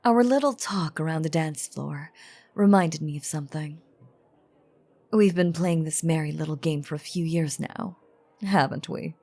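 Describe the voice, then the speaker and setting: A woman playing an ancient, playful yet menacing female vampire in an audio drama, teasing in theatrical, old-fashioned phrasing with a husky, breathy voice. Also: low-pitched, a little mellow. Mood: teasing, nostalgic. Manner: theatrical, teasing, archaic wording, deliberate pauses. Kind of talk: dramatic character audio roleplay monologue.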